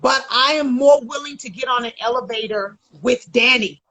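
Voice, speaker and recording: A woman relationship coach in a casual conversation, loud, emphatic and blunt, swearing freely. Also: a little high, normal speed.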